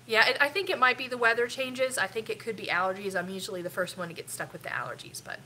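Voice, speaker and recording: A woman's voice: mid-range voice, fast, pushed and bright, slight background noise, poor audio. A middle-aged woman with a stuffy, sniffly nose, rambling casually with lots of ums while hosting a family live stream. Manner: rambling, chatty, with frequent ums.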